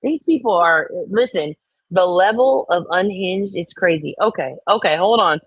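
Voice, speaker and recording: A woman's voice: lowish voice, moderate pace, husky, no room sound. A woman co-host giving casual commentary, reading comments out dramatically and reacting bluntly, with exasperation and free swearing.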